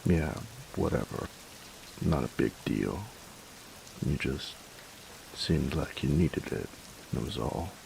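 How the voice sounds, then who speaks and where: Man acting a very deep, gravelly bully boyfriend. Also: full character voice, rough recording. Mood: gruff, flustered. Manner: gruff, teasing, halting when tender. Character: gruff young bully boyfriend, teasing but secretly tender. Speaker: voice actor in roleplay audio. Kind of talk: intimate audio roleplay, boyfriend monologue. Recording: hiss with rain sounds behind. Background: steady rain.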